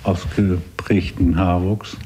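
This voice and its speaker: A man being interviewed, giving measured descriptions. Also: low voice, little pitch movement, mellow, slight background noise.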